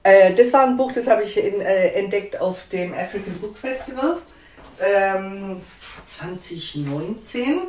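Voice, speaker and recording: A woman's voice: mid-range voice, expressive, unhurried, a little mellow, reverberant. A woman publisher answering interview questions in a hesitant, conversational way with frequent fillers and restarts.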